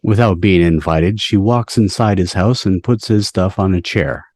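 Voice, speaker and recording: A man with a deep voice reads scene directions from a script. The sound is dry, with little room in it, like a home video call. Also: moderate pace, darkish tone, no room sound. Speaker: stage directions reader. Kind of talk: scripted narration at a table read. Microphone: video call microphone.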